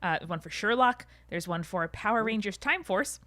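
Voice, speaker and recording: A woman's voice: mid-range voice, animated, normal speed, pushed and bright, no echo, studio quality. A woman co-hosting a podcast, talking in a casual, chatty style. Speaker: podcast co-host. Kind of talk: casual chat.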